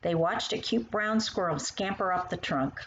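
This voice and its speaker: Expressive woman reading a storybook, lively pitch. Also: normal speed, a little hiss or hum, low-quality recording. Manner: expressive, acts out the dialogue. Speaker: author reading own children's story. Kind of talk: children's storybook narration.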